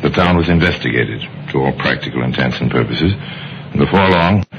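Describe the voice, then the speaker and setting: Very deep dramatic male radio narrator. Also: expressive, slight background noise. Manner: deliberate, wry. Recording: muffled old radio recording. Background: music.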